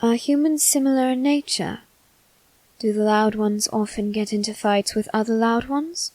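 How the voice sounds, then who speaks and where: A woman voicing a soft-spoken, politely teasing male demon servant in an ASMR roleplay, with formal manners. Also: a little high, normal speed, no echo, natural voice. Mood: apologetic, courteous. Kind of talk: ASMR roleplay addressed to the listener.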